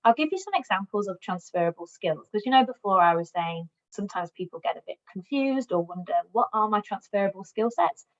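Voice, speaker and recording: A woman's voice: mid-range voice, animated, a little fast, few pauses. Woman coaching job seekers, dull lo-fi sound. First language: English, also Welsh. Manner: upbeat, encouraging, advisory. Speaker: recruitment platform customer success manager giving a job search talk. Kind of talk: presentation. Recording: dull lo-fi recording.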